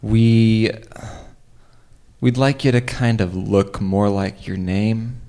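Man playing low-voiced, halting foodie dad. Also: flat delivery, mellow. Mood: awkward, hesitant. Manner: halting, awkward delivery of news. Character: earnest, hesitant crunchy foodie father. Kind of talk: improvised radio theater scene.

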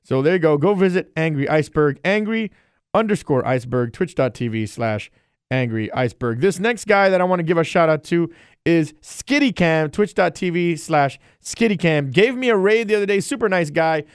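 A man's voice: fairly high pitch, lively intonation, moderate pace, clear, resonant tone, no room sound, studio quality. A man hosting a casual solo podcast and chatting in a loose, rambling, friendly way. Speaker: podcast host and streamer.

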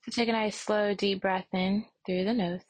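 A woman leading a guided meditation and prayer as an instructor, speaking softly and soothingly with long, unhurried pauses. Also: medium pitch, fairly even intonation, dry recording, poor audio.

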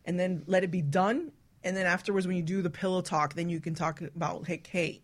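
A woman's voice: lowish voice, steady tone, a little fast. A woman co-hosting a radio talk show, speaking frankly and casually in a group chat. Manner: frank, explicit.

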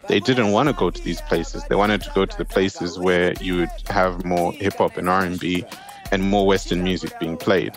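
Earnest male producer, low voice, firm views. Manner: articulate, opinionated, earnest. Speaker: music producer. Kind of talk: interview.

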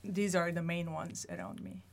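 A woman's voice: fairly low pitch, very animated, normal speed, creaky. A woman from Lebanon, an Arabic speaker, chatting casually. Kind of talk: casual conversational chat.

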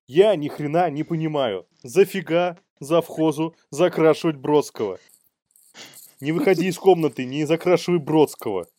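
A man's voice: fairly high pitch, moderate pace, warm tone, no echo, clean studio sound. A man co-hosting a comedy news show, mixing read-out items with playful, irreverent, slangy commentary. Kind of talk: news read-outs mixed with casual joking commentary.

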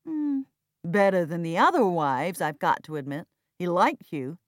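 A woman's voice: fairly low pitch, big pitch swings, unhurried, no room sound, studio quality. Woman voicing a cold, bitter, sardonic male heir. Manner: detached, sardonic, dismissive. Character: cold, flippant, bitter heir, adult son.

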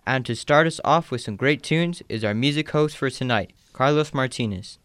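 A man's voice: medium pitch, moderate pace, a bit bright, dry recording, studio quality. A man co-hosting a radio program, reading scripted introductions in a formal announcing manner. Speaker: radio show co-host.

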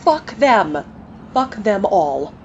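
A woman's voice: medium pitch, sing-song, very slow, faint music behind, exaggerated voice. A woman playing a cynical, profane journalist who rages at the people running her world. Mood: furious. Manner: blunt, profane, scornful. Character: cynical fiery woman journalist, furious at corporate rulers. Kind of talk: audio drama acting. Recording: slightly dull audio with faint noise.

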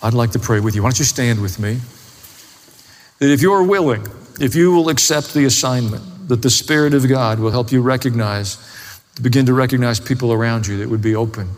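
A man's voice: mid-range voice, a little fast. A man pastor with a plain-spoken, folksy way, preaching and praying before a congregation. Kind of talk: sermon and closing prayer. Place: large hall, preaching to a congregation. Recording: echoey hall with long reverb.

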